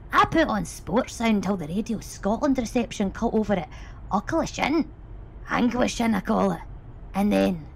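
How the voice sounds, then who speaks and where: Gossipy woman cabbie, very lively intonation. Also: medium pitch, vocal fry, slight background noise, full character voice. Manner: gossipy, amused, musing aloud. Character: chatty, wry woman taxi driver in rural Scotland. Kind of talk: audio drama monologue, conversational testimony.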